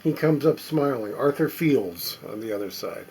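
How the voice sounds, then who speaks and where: A man casually talking to himself as he sorts a stack of old records, reading the labels aloud in a halting, musing way. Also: mid-range voice, lively intonation, a little mellow, a little hiss or hum. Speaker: record collector. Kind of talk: casual running commentary while sorting records.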